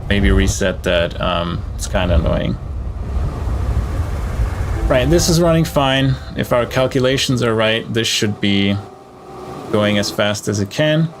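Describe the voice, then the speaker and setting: A man's voice: fairly low pitch, animated, unhurried, pauses often, noisy background. A man giving casual live gameplay commentary, thinking aloud as he plays. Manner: thinking aloud while playing. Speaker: game streamer.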